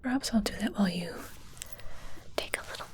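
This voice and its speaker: A woman playing an evil witch in an ASMR roleplay, sly and coolly amused, teasing and menacingly sweet in a breathy whisper. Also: mid-range voice, lively intonation, fairly quick, lightly acted.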